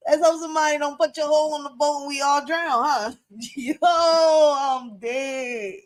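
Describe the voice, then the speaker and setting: Thirtysomething woman hyped up reacting to a clip, slangy, kinda high voice. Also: a little slow. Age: 30s. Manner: slangy, excitable, repeats herself. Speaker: reaction video host. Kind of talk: casual reaction commentary.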